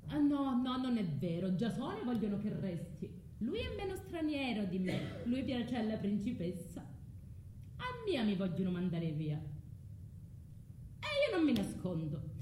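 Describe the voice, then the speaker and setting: Proud, bitter southern Italian exiled wife. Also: female voice, fairly high pitch, warm tone, reverberant, slight background noise. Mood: defiant. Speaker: actress. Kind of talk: theatrical radio drama monologue.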